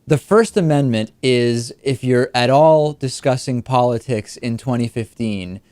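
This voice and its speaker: A man's voice: medium pitch, a little slow, darkish tone, smooth, no echo. A man with an American accent hosting an interview, setting up questions for a guest. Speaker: talk show host, interviewer.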